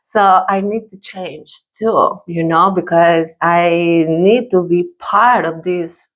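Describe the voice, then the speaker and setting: A woman from Colombia with a Colombian Spanish accent, a native Spanish speaker talking earnestly as a podcast guest in halting English. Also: lowish voice, lo-fi sound. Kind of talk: interview conversation.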